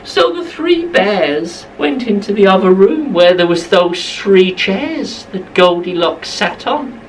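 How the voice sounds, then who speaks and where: A woman's voice: mid-range voice, animated, slow pace, distant mic, a little hiss or hum, poor audio. A woman telling a bedtime story to young children, expressively, repeating phrases for emphasis, slightly away from the mic.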